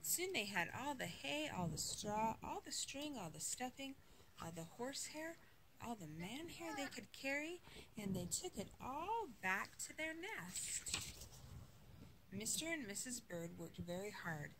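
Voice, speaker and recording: A woman reading a children's storybook aloud as a mother to a child, slowly and expressively, acting out the story. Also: on the high side. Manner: slow, expressive, acting out the dialogue. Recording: noisy home recording with clicks.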